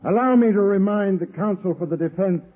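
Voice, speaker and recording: An actor playing a confident prosecutor who speaks in a crisp, formal way, in a 1930s radio courtroom drama. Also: male voice, high voice, a little fast, natural voice. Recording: tinny scratchy 1930s radio recording.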